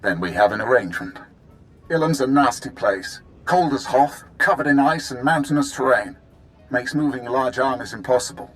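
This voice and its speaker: A man playing a businesslike, pragmatic Imperial official in a video game, giving a measured briefing. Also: mid-range voice, soft background music, lightly acted, rough recording. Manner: measured, matter-of-fact briefing. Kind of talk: dramatic video game acting.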